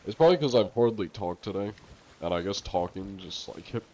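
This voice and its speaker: A man chatting casually to viewers on a live game stream, congested and coughing now and then, chatty and quick to laugh. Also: on the low side, lively intonation, dark tone, low-quality recording.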